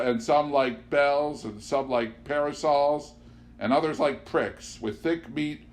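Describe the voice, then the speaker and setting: A man's voice: a little high, pitched above the performer's own voice. Declamatory, poetic eccentric man, voiced by a male reader. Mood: rapturous. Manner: declamatory, drawn-out on key words. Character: eccentric man, grandly poetic storyteller and riddle solver. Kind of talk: audiobook character dialogue. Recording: clear background noise with hum.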